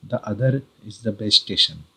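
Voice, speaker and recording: A man with an Indian accent narrating an explanatory tutorial as host, deliberately, step by step in short phrases. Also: fairly low pitch, expressive, vocal fry, sharp tone, breathy edge, rough recording. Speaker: host of a how-to video channel.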